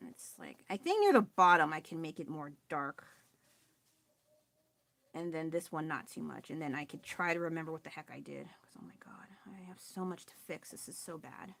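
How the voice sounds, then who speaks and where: A woman with a lowish, loud voice rambling casually as she paints live from home. She is self-deprecating and laughs often. The sound is close and dry, with no room echo. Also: lively intonation, moderate pace, gravelly. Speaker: artist livestreaming her painting. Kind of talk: casual live-stream chat while working. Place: at home with family nearby.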